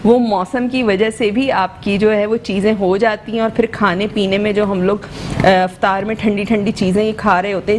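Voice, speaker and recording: TV cooking host, woman, Pakistani Urdu accent, hoarse throat, chatty step-by-step talk, mid-pitched, noisy studio mic. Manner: chatty, explaining step by step with many fillers. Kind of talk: live cooking demonstration. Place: TV studio kitchen set.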